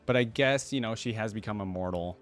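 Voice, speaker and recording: A man's voice: on the low side, fairly quick, music in the background. A man hosting a reaction video, commenting casually as he goes.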